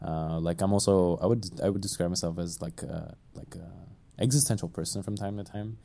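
A man in a casual group chat, talking in a halting, reflective way with many fillers. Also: deep voice, gravelly, dark tone.

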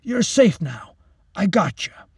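A man's voice: high voice, big pitch swings, slow pace, darkish tone, slightly rough, dry recording, studio quality, distant mic, pitched above the performer's own voice, full character voice. A man narrator voicing an older, fatherly soldier who talks in a soothing, consoling way.

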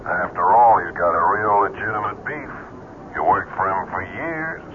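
Casting: menacing gang go-between, deep, measured, pointed, muffled 1970s radio sound. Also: male voice, very animated, slight background noise. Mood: insinuating. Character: insinuating male gang go-between, quietly menacing. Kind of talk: old-time radio drama acting.

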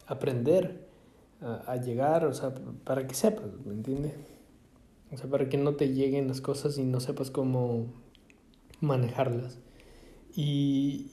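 A man from Ecuador musing aloud in a casual monologue, halting often with fillers and restarts. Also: mid-range voice, mellow. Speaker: vlogger. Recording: echoey room.

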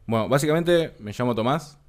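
A man in his twenties with a Rioplatense accent, co-hosting a casual podcast chat, unhurried and full of hesitant fillers. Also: medium pitch, lively intonation. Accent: Rioplatense Spanish. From Argentina. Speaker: podcast co-host.

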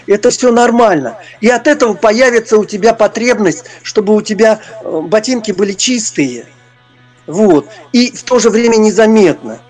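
Halting, high-voiced older man reminiscing. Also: lively intonation, a little mellow, faint music behind. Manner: halting, searching for words. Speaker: interview guest, retired prison-service officer and former stage performer. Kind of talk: reminiscing interview talk.

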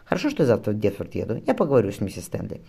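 A fretful, determined mother played by a male reader from a historical novel. She speaks briskly and affectionately, with quick pace and pitch that moves up and down. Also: wildly swooping pitch, creaky, warm tone, husky, full character voice. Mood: brisk, concerned.

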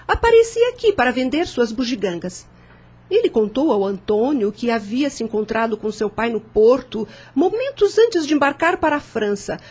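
High, husky-voiced elegant landowner's widow. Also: female voice. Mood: wistful. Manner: formal, theatrical, expressive delivery. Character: elegant mature landowner's widow, inquisitive, gracious. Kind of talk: radio drama acting.

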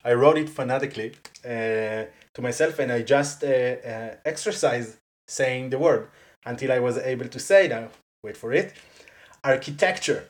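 A guest speaking English with an Israeli accent as a second language after Hebrew, chatting casually in an interview, animated and quick to laugh, with lots of "like" and restarted sentences. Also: medium pitch.

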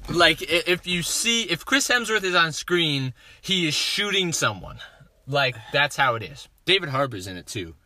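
A man's voice: fairly high pitch, very animated, moderate pace, sharp tone, dry recording. A young man with a slight lisp on his S sounds, co-hosting a podcast and rambling about movies in casual chat, often restarting his sentences. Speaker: podcast co-host.

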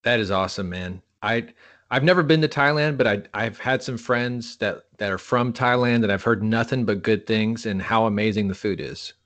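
A man's voice: on the low side, normal speed, a bit bright. A man from the East Coast of the United States hosting an interview in a laid-back, friendly way, calling his guest "man". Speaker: podcast host. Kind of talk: casual interview chat.

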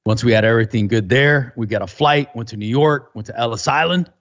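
A man's voice: mid-range voice, brisk, a bit bright, muffled recording. A Cuban-born man raised in the United States, chatting informally and profanely as a podcast co-host. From Tampa, United States, born in Cuba. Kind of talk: casual chat.

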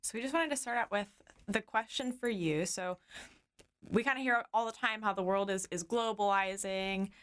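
A woman's voice: medium pitch, normal speed, a bit bright. A woman co-hosting a podcast, friendly and upbeat, asking questions with a few restarts. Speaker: podcast co-host.

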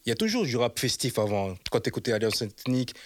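A man's voice: medium pitch, sing-song, slight background noise. A man, the host of a web channel, answering interview questions in a casual, emphatic way and checking that the listener follows him. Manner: emphatic, rapid, keeps asking "you see what I mean?".

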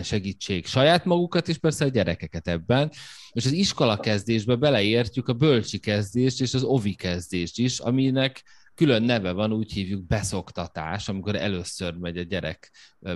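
A man with a low voice hosts a podcast, setting up the theme and putting questions to his guests in a measured way. The sound is dry and close, with no room echo. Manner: measured, asks questions, introduces topics. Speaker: podcast host. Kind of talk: podcast interviewing.

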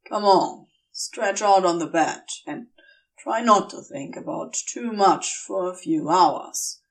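A woman voicing a begrudging male demon with a secretly tender streak, gruff and brusque, in a story reading. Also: lowish voice, slow, recorded from a distance, low-quality recording. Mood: gently firm.

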